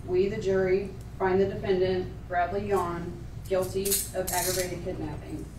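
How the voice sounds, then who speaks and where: A woman working as a court clerk, reading formal verdicts and polling questions aloud in a measured, official way. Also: lowish voice, little pitch movement. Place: indoor courtroom. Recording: roomy, distant courtroom pickup with clear noise.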